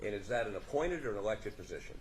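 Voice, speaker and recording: A man questioning a witness in a courtroom, halting and restarting his questions in a folksy way. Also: lowish voice, expressive. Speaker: defense attorney questioning a witness. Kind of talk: courtroom examination. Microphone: courtroom microphone.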